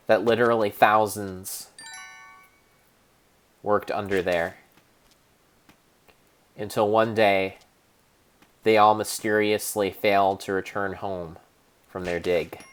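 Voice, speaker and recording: A man improvising a mock-dramatic narration of a game in a deadpan storytelling manner, often stopping to correct himself. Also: on the low side, clear, resonant tone. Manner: deadpan storyteller, halting restarts and self-corrections.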